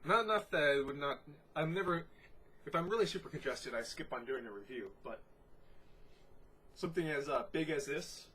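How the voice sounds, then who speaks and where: Congested man, fairly high voice, husky tone, casual beer review, descriptive and unhurried. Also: expressive, sharp tone. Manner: descriptive, unhurried, many "you know" fillers.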